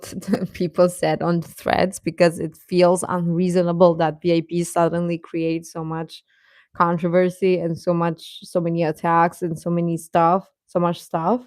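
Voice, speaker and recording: A woman hosting a casual fan livestream, rambling and halting as she replies to comments, often with a chuckle in her talk. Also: on the low side, a little mellow, no room sound, clean studio sound. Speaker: host of a K-pop fan channel.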